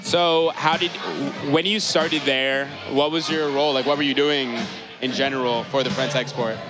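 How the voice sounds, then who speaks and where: A man hosting a casual podcast interview at a live event, relaxed and quick to affirm. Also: medium pitch. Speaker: podcast host. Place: busy venue with a DJ playing music. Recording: live event with music behind.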